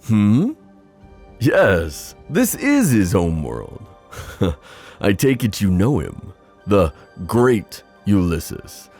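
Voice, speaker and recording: A boastful, playful sea god, acted by a man in a fantasy audio roleplay. The voice is low and gravelly, with theatrical, drawn-out phrasing that takes its time. Faint music plays underneath as he teases and schemes. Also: wildly swooping pitch, darkish tone, heavily acted. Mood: amused, confiding. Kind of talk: ASMR fantasy roleplay acting.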